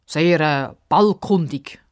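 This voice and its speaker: A woman's voice: low voice, takes it slowly, smooth. A woman voicing a kindly, cheeky old man in a children's story, reassuring and folksy in manner. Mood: chipper.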